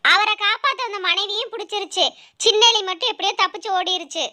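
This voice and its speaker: A woman's voice: very high voice, fast, bright, forceful tone. A woman narrating a scripted children's animal story.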